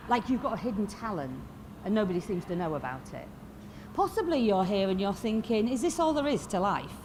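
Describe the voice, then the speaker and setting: A woman in her fifties with a Yorkshire accent, giving a blunt, lively motivational talk to a live audience. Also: medium pitch, slight background noise. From Lancashire, England. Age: 50s. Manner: lively, blunt and swearing, asks the crowd questions. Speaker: motivational speaker. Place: expo stage before a live audience.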